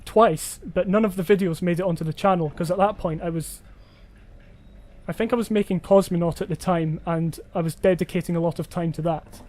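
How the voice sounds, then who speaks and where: A man's voice: sits high, fairly even intonation, a little fast, darkish tone. A man hosting a gameplay video, chatty and self-deprecating as he thinks aloud. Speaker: host. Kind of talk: gameplay commentary.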